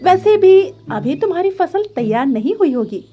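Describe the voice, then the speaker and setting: A woman's voice: very high-pitched, very animated, normal speed, warm tone, dull audio, pitched above the performer's own voice. A woman voicing a little female bird farmer in a children's cartoon, cheerful, earnest and self-assured. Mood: defiant.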